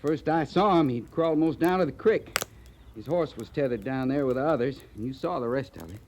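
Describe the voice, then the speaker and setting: An actor playing a folksy, grumbling cavalry sergeant with a rustic American accent in an old-time radio western. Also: male voice, mid-range voice, fairly quick, darkish tone, slight background noise, lightly acted. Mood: flustered.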